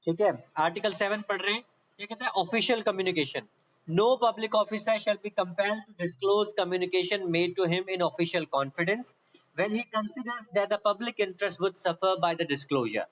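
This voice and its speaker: A man's voice: high-pitched, takes it slowly, fairly bright tone, poor audio. A man with a Pakistani accent giving an explanatory law lecture, reading aloud and then explaining, repeating points and often checking that listeners follow. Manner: repetitive, checks understanding often.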